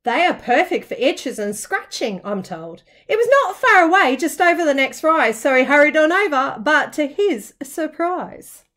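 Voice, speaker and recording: A woman reading a rhyming children's storybook aloud in an expressive, dramatic, sing-song way, like a teacher. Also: high-pitched, moderate pace, few pauses. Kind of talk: children's storybook narration in rhyme.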